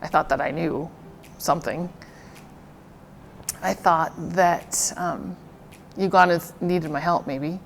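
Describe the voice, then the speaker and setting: A woman's voice: deep voice, creaky, slight background noise. Thoughtful American woman missionary. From United States. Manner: thoughtful, halting, repeats words. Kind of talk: reflective interview.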